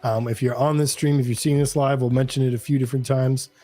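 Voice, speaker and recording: Male co-host, soft dark tone, mid pitch, friendly and informal explainer, quiet music underneath. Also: fairly even intonation, fast talker. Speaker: live stream co-host. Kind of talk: casual explaining of giveaways.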